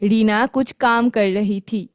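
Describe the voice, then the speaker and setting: A woman's voice: medium pitch, fairly even intonation, slow pace, no echo, clean studio sound. A woman narrating a scripted children's story.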